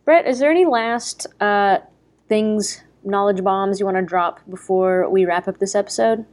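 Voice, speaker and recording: An American woman hosting a podcast in casual chat, chatty and full of quick asides. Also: medium pitch, a little mellow. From United States. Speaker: podcast host.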